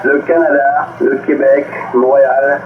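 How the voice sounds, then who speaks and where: A man's voice: medium pitch, little pitch movement, unhurried. An older man with an accent from France, chatting amiably as a CB caller, his voice coming through a radio transmission. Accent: French from France. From Brittany, northwest France. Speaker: CB radio operator calling in. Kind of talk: casual radio chat. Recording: muffled noisy CB radio reception, roomy. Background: radio static noise.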